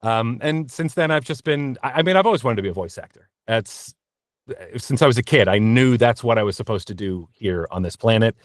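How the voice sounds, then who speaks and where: A man giving casual, conversational interview answers as a voice actor guest, often halting and correcting himself as he tells his story. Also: mid-range voice, animated, dry recording, clean studio sound.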